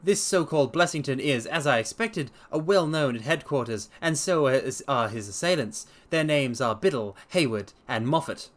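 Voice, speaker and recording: A man voicing a brisk, confident, eloquent detective in a dramatic story reading, explaining with emphatic precision. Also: medium pitch, expressive, close to an everyday voice. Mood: brisk, pleased. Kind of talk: dramatic audiobook-style character reading.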